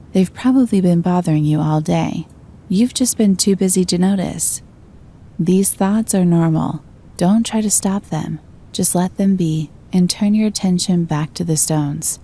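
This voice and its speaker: A woman narrating a scripted guided meditation in a gentle, calm and soothing manner. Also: on the low side.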